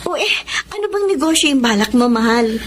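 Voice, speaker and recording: A woman playing a gentle, supportive young wife in a Filipino radio drama. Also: high voice, expressive, brisk, noisy background. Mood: affectionate, eager.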